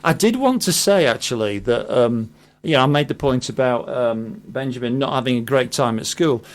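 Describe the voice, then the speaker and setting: A man with a British accent hosting a casual radio interview in a hesitant, halting manner. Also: medium pitch, expressive. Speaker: radio host.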